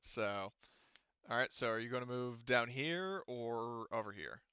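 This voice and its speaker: A man working as game master of a tabletop roleplaying game, narrating casually and haltingly, thinking aloud and swearing. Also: mid-range voice, lively intonation, pushed and bright, smooth, dry recording, dull audio.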